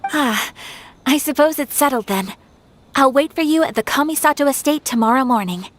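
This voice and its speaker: A woman playing a gracious, composed young noblewoman swordfighter in a video game, speaking in a polite, formal, gentle way. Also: sits high, expressive, moderate pace, slight background noise. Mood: shy. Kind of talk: video game cutscene dialogue.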